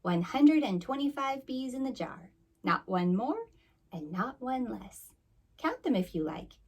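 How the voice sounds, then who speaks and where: A woman's voice: on the high side, big pitch swings, measured pace, darkish tone, husky, voice pushed up, full character voice. A woman voicing a small, clever female storybook rabbit, coy and self-assured. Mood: triumphant.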